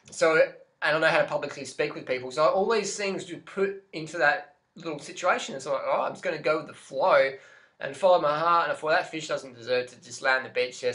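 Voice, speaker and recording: Brief: Australian vlogger, 30s, animated rant, webcam in a small room. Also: male voice, a little high. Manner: brash, profane, animated storytelling. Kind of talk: ranting monologue to camera.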